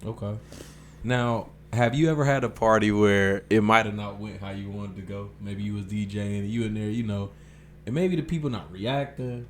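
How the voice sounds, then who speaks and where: Low-voiced man hosting a podcast. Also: steady tone, moderate pace, slight background noise. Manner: easygoing, lots of "okay" and "um" backchannels. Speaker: podcast host. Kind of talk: casual podcast interview.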